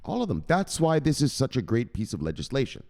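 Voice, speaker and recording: A man's voice: mid-range voice, huge pitch swings, brisk, gravelly, a little mellow. A man hosting a crypto news channel, ranting through the headlines in a profane and emphatic way.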